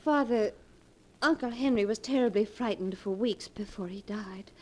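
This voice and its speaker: A woman's voice: mid-range voice, moderate pace, dark tone, close to an everyday voice. A young woman playing an anxious, earnest niece who is the heroine and narrator of an old radio horror drama. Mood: worried. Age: young adult. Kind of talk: 1940s radio horror acting. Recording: noisy old radio recording.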